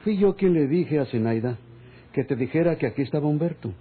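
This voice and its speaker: An actor playing a stern, commanding middle-aged hacienda master in an old radionovela. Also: male voice, on the high side, expressive, noisy background, lightly acted. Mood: stern, controlled. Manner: authoritative, measured. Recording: tinny, phone-like old radio drama recording.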